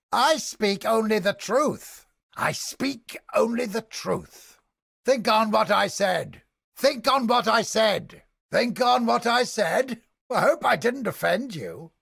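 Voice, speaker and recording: Gravelly, theatrical cynic inquisitor. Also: male voice, high voice, fairly bright tone, dry recording, clean studio sound, heavily acted. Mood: brisk greeting. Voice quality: gravelly, husky, breathy edge. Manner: theatrical, deliberate, sardonic, formal archaic diction. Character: cynical, theatrical male inquisitor scholar, sardonic and smugly godless. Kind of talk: theatrical video game character acting.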